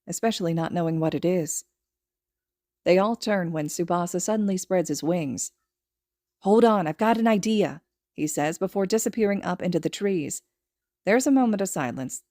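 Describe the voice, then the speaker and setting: A woman's voice: lowish voice, dark tone, dry recording, clean studio sound. A woman reading a story aloud as scripted audiobook narration. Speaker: podfic narrator.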